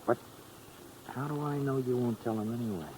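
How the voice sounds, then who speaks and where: A man's voice: medium pitch, normal speed, darkish tone, lightly acted, rough recording. An actor playing a scheming young man in a 1950s radio drama, hesitant and prone to stammering. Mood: nervous, defensive. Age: young adult. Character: nervous, scheming young man trying to talk smoothly. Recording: old radio recording, faint noise.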